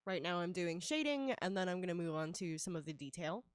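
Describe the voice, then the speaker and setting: A woman's voice: on the low side, animated, brisk, clear, resonant tone, dry recording, studio quality. Chatty woman with a nasal head cold. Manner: chatty, laughs often, many fillers. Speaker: livestreaming artist. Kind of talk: casual streaming chat while drawing.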